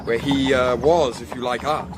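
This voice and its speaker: Courteous young gentleman played by a man, noisy background. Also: medium pitch, steady tone, moderate pace, close to an everyday voice. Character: polite, smooth-talking young Victorian scholar, a man. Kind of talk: radio drama acting.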